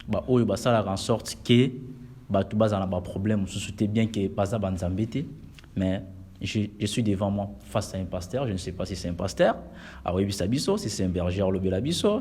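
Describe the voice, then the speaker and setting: Lively, emphatic Congolese-born male host. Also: on the low side. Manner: emphatic, mixes French and Lingala. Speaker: web TV interviewer. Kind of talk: lively interview hosting. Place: inside a church.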